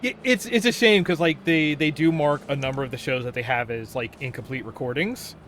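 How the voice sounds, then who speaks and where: A man livestreaming in casual, rambling, halting chat. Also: fairly high pitch, a bit bright, slight background noise. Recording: music playing behind the voice. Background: old radio broadcast and music.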